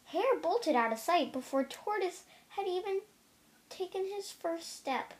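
High-pitched, slow-paced young storybook reader. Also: expressive. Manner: careful reading with occasional stumbles. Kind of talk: reading a children's picture book aloud.

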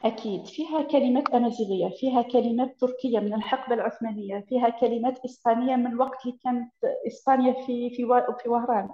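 A woman's voice: on the high side, a little hiss or hum. A young woman from Eastern Algeria with an Eastern Algerian accent, explaining her dialect in a casual video call chat. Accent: Eastern Algerian Arabic. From Tebessa, Eastern Algeria. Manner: quick, animated, laughs often. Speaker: interview guest. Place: room with bookshelves, indoors. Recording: roomy, dull video call audio.

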